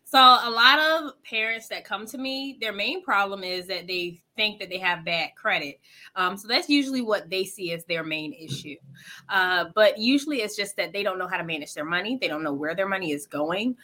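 Bright-voiced woman financial coach. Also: medium pitch, moderate pace. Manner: earnest, explanatory, frequent "um" and "like". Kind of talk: casual interview answers.

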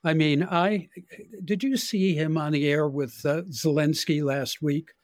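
An older man with an American accent giving opinionated, deliberate political commentary in conversation as a podcast guest. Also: a little high, fairly even intonation, dry recording, studio quality.

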